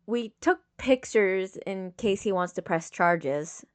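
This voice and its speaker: A woman's voice: medium pitch, takes it slowly, clean tone, lightly acted. A woman reading the part of a composed, clinical young female medic in a story, direct and matter-of-fact. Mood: clinical, concerned. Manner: matter-of-fact, clipped questioning.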